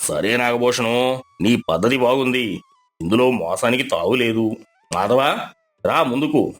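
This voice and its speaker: A man playing a fair-minded, authoritative village elder, measured and solemn, in a dramatized moral story. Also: mid-range voice, lively intonation, soft background music, close to an everyday voice. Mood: solemn, judicial.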